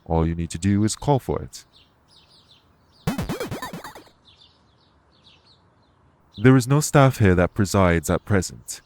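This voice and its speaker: Audio roleplay lead: male actor as dignified ex-king and fae minister, low register, formal, reassuring delivery. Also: darkish tone, no echo, lightly acted. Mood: welcoming, reassuring. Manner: formal, measured, reassuring phrasing. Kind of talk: audio roleplay spoken to the listener.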